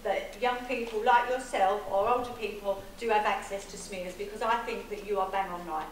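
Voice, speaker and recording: A woman chairing a public meeting, briskly managing the queue of questions. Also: medium pitch, fairly even intonation. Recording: echoey hall, distant mic, faint noise.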